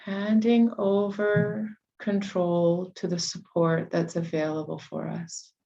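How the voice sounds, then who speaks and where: A woman leading a guided meditation, giving soothing, unhurried instructions with long pauses between phrases. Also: lowish voice, little pitch movement, gravelly, mellow, muffled recording.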